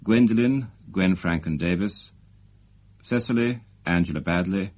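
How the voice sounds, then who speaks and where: A man's voice: low voice, unhurried, a little mellow. A man with a British accent reading a formal broadcast announcement as a radio announcer.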